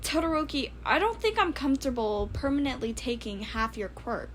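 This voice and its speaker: A woman's voice: high-pitched, sing-song, normal speed, a little hiss or hum. A woman voicing an earnest, quirky, skeptical teenage boy gadget builder, chatty and questioning, in a story reading. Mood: earnest, wary.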